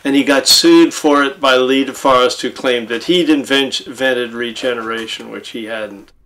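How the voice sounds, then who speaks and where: A man's voice: mid-range voice, steady tone, normal speed, fairly bright tone, low-quality recording. An older man speaks in an interview as a radio history expert. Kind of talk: seated interview recollection. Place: indoors, book-lined room.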